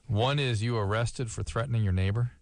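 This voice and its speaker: Fast-talking male radio host. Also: mid-range voice, dry recording. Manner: quick, joking, chatty. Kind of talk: casual interview chat.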